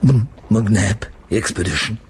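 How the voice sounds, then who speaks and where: An actor playing a blustering, pompous German professor uncle with a German accent in a radio comedy-adventure, exclaiming and sputtering. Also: male voice, on the low side, unhurried, close to an everyday voice. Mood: indignant. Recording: noisy radio broadcast with ambient effects.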